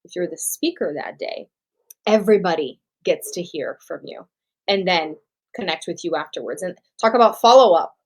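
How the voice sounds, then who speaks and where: A woman's voice: lowish voice, lively intonation, normal speed, low-quality recording. A woman from Brazil with a Brazilian accent, a guest on an interview podcast, talking in an upbeat, encouraging way and laughing easily. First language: Portuguese. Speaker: guest speaker.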